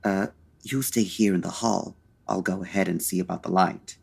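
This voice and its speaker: A suave gentleman detective from a 1940s radio mystery, with a very low voice. He talks in an urbane, measured way with dry wit. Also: fairly even intonation, vocal fry, slightly rough, exaggerated voice. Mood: polite, cautious. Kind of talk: old-time radio detective acting.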